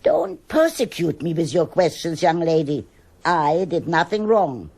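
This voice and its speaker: An old woman in a radio drama, stubborn and quick to judge. Her husky, voice moves slowly but swings widely in pitch, halting as she searches for words. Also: sing-song, a little mellow, heavily acted. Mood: defensive, indignant. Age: older. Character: stubborn, defensive old German woman, gossipy neighbour.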